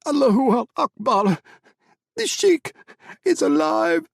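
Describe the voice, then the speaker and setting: A man's voice: animated, slow pace, creaky, dry recording, studio quality, full character voice. A man voicing panicked male fighters crying out over a radio in an audiobook. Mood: frantic. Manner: frantic high shouting.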